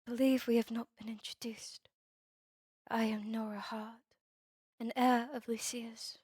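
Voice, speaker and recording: A woman playing a guarded vampire heir in a scripted ASMR roleplay, measured, formal and cool. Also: fairly high pitch, flat delivery, a little mellow, husky, no room sound, studio quality. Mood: polite, reserved.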